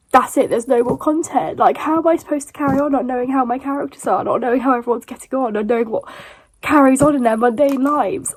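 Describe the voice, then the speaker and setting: A woman's voice: fairly high pitch, husky. A young woman chatting enthusiastically about books in a casual vlog. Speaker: book vlogger.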